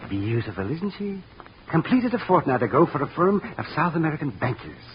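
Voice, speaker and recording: An actor playing a fussy older safe company president in an old radio drama, formal, effusive and given to fluttery exclamations. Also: male voice, fairly high pitch, very animated, fairly quick. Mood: rapturous, courtly. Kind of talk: 1950s radio drama acting. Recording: muffled, noisy old radio recording.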